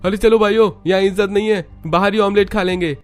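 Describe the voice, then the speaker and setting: Man putting on a loud, high-strung bullying village husband. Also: fairly even intonation, fast, soft background music, close to an everyday voice. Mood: indignant. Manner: loud, demanding, scolding. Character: angry, rude, bullying young village husband.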